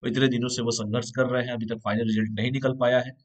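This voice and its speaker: A man with a hoarse voice gives an exam-prep lecture at an ordinary middle pitch. He explains each point patiently, repeats key terms, and keeps checking whether his students follow. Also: little pitch movement, quick pace. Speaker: exam coaching teacher. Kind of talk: live online lecture.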